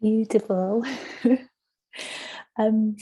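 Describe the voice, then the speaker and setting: A woman hosting an online book launch in an informal, effusive and somewhat halting way. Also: fairly high pitch, fairly even intonation, dark tone, slightly rough, dull audio. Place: online event, on a video call.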